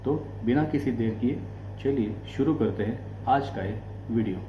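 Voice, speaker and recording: A man from India with an Indian accent, giving explanatory commentary as a host in deliberate, short broken phrases. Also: medium pitch. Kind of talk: explanatory political commentary to camera. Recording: echoey room, faint background noise and hum.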